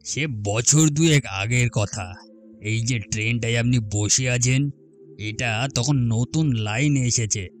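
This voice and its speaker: A man playing a mysterious, calm gentleman ghost who tells his tale formally in a grave, resonant voice in a horror story. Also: medium pitch, takes it slowly, faint music behind, lightly acted. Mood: calm, ominous. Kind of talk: Bengali horror audio story acting.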